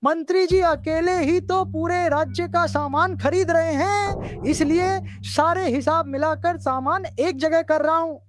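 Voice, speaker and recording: An actor voicing a busy, flustered, wary village shopkeeper in an animated comedy. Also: extremely high pitch, a little slow, few pauses. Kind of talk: Hindi animated comedy dubbing.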